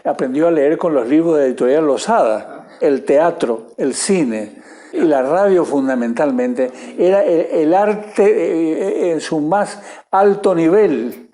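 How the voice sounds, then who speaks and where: A man's voice: a little high, slow, mellow. A man being interviewed, reminiscing in spontaneous talk.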